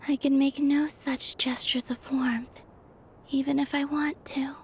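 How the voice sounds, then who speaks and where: A woman playing a soft, wistful young moon goddess in an anime game, hushed and sighing. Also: high-pitched, little pitch movement, a little slow, slight background noise. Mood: wistful, sad.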